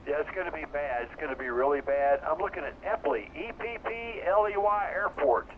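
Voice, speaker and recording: A man in his seventies, a ham radio operator chatting on a net in an earnest, halting way. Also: fairly high pitch, lively intonation. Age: 70s. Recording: tinny two-way radio with faint hiss.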